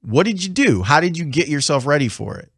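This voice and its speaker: A man's voice: fairly high pitch, big pitch swings, a little fast, creaky, a bit bright, slightly rough, dry recording. A middle-aged man hosting a sports radio show, asking a studio guest curious, leading questions. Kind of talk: radio interview. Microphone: studio mic with headphones.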